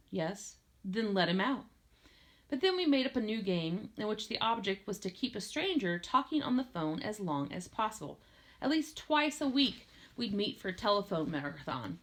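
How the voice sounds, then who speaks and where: A woman reading a first-person novel aloud in an expressive storytelling style. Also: lowish voice. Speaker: audiobook reader.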